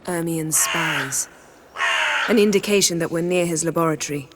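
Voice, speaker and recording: An actress playing a haughty, commanding sorceress in a video game, speaking in a formal, controlled and clipped manner. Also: female voice, sits low, normal speed, heavy background noise, natural voice. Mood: wary. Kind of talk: video game character acting. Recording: music underneath.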